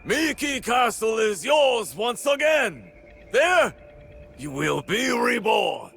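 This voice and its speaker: Gravelly, fiery rebel warlord. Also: male voice, very high-pitched, big pitch swings, slow, a bit bright, raised voice, slight background noise, exaggerated voice. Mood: fierce, rallying. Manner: grandiose, oratorical, commanding. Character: fiery warlord rallying his armed men in feudal Japan. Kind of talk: dramatic video game cutscene acting.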